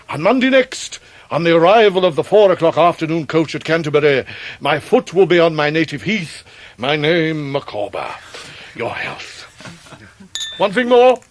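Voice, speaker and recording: A grandiloquent English gentleman of middle age, pompous and florid. His highish voice swoops up and down as he pauses weightily, in a close, dry radio drama recording. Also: dry recording, full character voice. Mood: grand, convivial. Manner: florid, orotund, deliberate pauses. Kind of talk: period radio drama acting.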